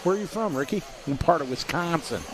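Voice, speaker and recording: A man calling a radio-controlled car race live, giving quick play-by-play and reading out viewer messages. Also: fairly high pitch, animated, background noise. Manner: rapid play-by-play, reads viewer chat aloud. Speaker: race commentator.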